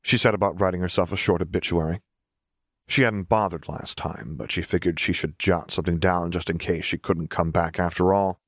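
A man's voice: low voice, steady tone, a little fast, no room sound, studio quality. A man reading a story aloud as scripted audiobook narration.

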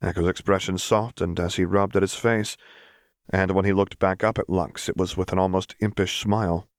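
A man's voice: low-pitched, fairly even intonation, normal speed, no room sound, clean studio sound. A man reading scripted fiction as an audiobook narrator. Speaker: audiobook reader.

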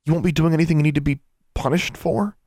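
A man's voice: fairly high pitch, quick pace, a little mellow, no echo, clean studio sound, pitched above the performer's own voice. A man voicing a determined, caring schoolgirl in an audiobook, earnest and direct. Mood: hopeful, earnest. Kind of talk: audiobook character voice.

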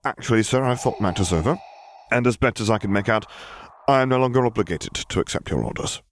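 A man's voice: on the low side, expressive, quick pace, voice pushed up. Polite male admiral for an audiobook, acted by a male narrator: anxious yet defiant, slight noise behind. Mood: cautious resolve. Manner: deferential, cautiously defiant. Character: nervous but stubborn, polite male naval admiral.

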